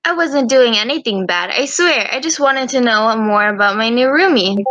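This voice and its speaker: A woman acts out a quirky young student girl for a visual novel. Her voice is bright and fairly high, recorded very dry. She comes across as playful and teasing. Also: moderate pace, dry recording, lightly acted. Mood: defensive. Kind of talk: visual novel fan dub acting.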